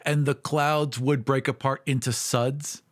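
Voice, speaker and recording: Man, middle pitch, podcast co-host, casual chat, joking riffs. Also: fairly even intonation, measured pace.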